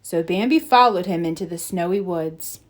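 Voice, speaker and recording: A woman reading a children's story aloud in an expressive, deliberate storybook narration style. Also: lowish voice. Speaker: storybook reader.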